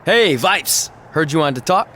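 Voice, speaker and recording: A man's voice: on the high side, animated, normal speed, a bit bright, slight background noise. An actor playing a cocky young male wrestling champion in a video game, flippant and sarcastic. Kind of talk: video game cutscene acting.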